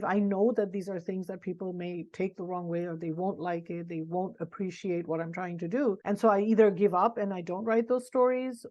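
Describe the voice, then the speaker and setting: A woman's voice: medium pitch, darkish tone. Frank middle-aged woman author, dull audio. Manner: frank, reflective, thinks aloud with restarts. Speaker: author being interviewed. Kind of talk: candid conversational interview answers.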